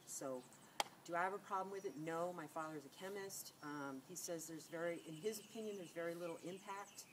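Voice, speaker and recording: A woman's voice: on the low side, brisk. A middle-aged woman, nasal from a cold, chatting casually to camera and rambling with many fillers and restarts. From California. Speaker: beauty vlogger. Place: outdoors against a sunlit wall. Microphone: camera on a tripod. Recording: noisy outdoor camera audio.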